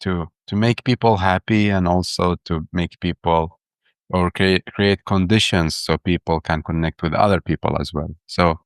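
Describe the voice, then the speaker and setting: A man's voice: low voice, steady tone, moderate pace, clear, resonant tone, no room sound, clean studio sound. A man in his thirties from Iraq, a guest on a podcast, talking with enthusiasm and often restarting and repeating his words. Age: 30s. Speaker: podcast guest, urban planner. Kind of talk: casual interview talk.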